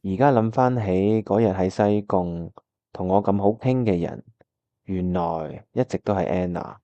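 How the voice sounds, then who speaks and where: A man's voice: sits low, measured pace, mellow, no room sound, lo-fi sound. A man with a Hong Kong Cantonese accent acting an earnest, lovelorn young pianist who narrates his own story in a radio drama. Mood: confused, wistful. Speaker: voice actor, lead and narrator.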